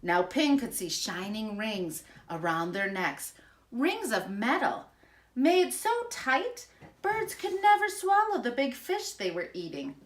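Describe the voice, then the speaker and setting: A woman reading a children's storybook aloud in an expressive, emphatic storytelling manner. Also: a little high, slow. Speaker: school principal reading aloud.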